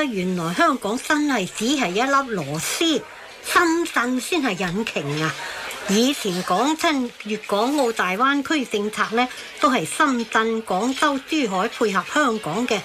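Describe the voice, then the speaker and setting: A woman's voice: on the high side, noisy background. An actress playing a dismayed, plain-speaking woman neighbour in a satirical radio drama, in Hong Kong Cantonese.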